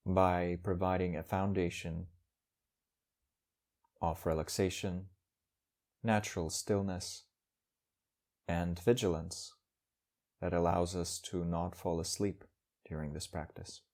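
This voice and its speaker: Man, meditation teacher, low voice, calm measured delivery, pauses between short phrases. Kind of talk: guided meditation instruction.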